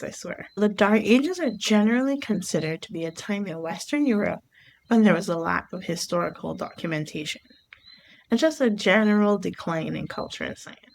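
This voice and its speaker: A woman from Barbados hosting her own podcast, talking through her thoughts in an unhurried, reflective way. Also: medium pitch, vocal fry, slight background noise, poor audio. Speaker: podcast host. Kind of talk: reflective solo podcast monologue.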